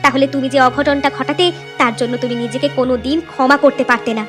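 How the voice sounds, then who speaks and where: Woman acting a high-voiced, scolding village wife. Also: steady tone, quick pace, a bit bright, faint music behind. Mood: reproachful. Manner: scolding, firm. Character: stern, upright village wife scolding her husband. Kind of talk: cartoon story voice acting.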